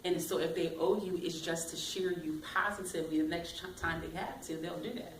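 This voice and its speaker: Woman career coach, bold and expressive, low-pitched; distant echoey recording. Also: brisk, slight background noise. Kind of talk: interview answers, motivational advice. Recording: echoey room, mic at a distance.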